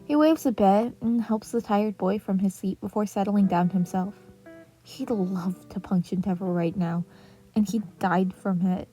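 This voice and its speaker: Mellow woman narrating a story. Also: medium pitch, unhurried. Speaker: audiobook reader of fan fiction. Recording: music playing under the voice.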